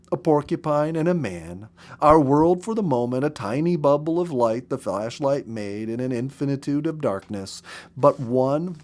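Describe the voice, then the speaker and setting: A man reading a story aloud as a narrator, with expressive, dramatic and deliberate delivery. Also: medium pitch. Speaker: story reader.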